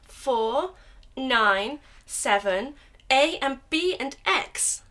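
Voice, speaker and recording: A woman voicing a hesitant younger girl who slowly reads out notes in a student radio drama. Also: high voice, animated, sharp tone, heavily acted. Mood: unsure. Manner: hesitant, slow, spelling things out. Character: younger little girl, careful note-taker.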